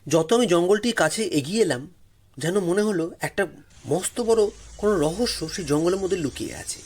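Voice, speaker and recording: A man narrating a first-person story in a dramatic, suspenseful way with expressive, drawn-out pauses. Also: sits high.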